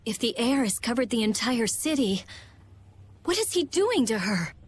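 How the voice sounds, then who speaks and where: A woman voicing a blunt, anxious, sharp-minded young girl in a video game, with an intense delivery. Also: on the high side, very animated, normal speed, slightly rough. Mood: alarmed. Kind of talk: voice acting in an English video game cutscene.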